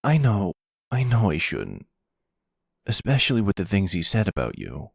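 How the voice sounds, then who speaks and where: A man's voice: fairly low pitch, wildly swooping pitch, normal speed, gravelly, breathy edge, dry recording, studio quality, voice pushed up, full character voice. A man voicing a nervous, naive young man, a grown son who talks in a hesitant, pleading, deferential way in an ASMR roleplay drama. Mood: sheepish, uneasy.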